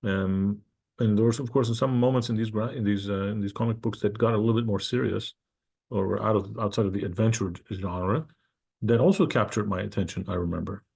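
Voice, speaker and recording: Gravelly, husky man asking a question. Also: fairly low pitch, a little fast, a little mellow. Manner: thoughtful, hesitant. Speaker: audience member asking a question. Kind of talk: question at an online panel.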